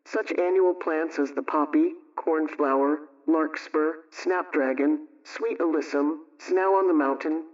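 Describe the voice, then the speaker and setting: A man presenting scripted household advice on radio, reading questions and answers through a dull, distant-sounding channel. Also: fairly high pitch.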